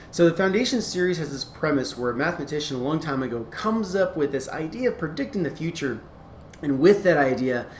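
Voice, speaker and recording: A man giving a casual, informal explainer, talking in a halting, rambling way and often correcting himself. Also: mid-range voice. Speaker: fan-theory video creator. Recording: echoey room, clear background noise.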